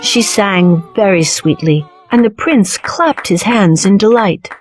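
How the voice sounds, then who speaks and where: A woman narrates a children's fairy tale at a slow, measured pace. Her pitch is medium and soft music runs behind her. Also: expressive. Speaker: storyteller. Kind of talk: scripted children's story narration.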